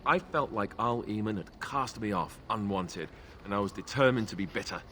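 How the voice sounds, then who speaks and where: An actor playing a wry, self-deprecating young warrior in a fantasy video game, with a joking, sarcastic manner. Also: male voice, fairly low pitch, normal speed, fairly bright tone, slight background noise, natural voice. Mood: wry confiding.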